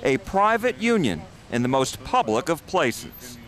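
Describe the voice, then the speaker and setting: A man's voice: a little high, very animated, measured pace, a crowd in the background. A man working as an investigative reporter, reading scripted news narration.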